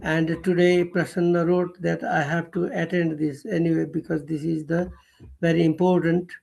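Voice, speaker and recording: An older man, a guest poet speaking slowly and earnestly over a video call, pausing and correcting himself as he goes. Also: on the high side, flat delivery, gravelly, a little mellow. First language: Nepali. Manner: slow, halting and earnest, with pauses and self-corrections. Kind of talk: remarks at an online poetry recital.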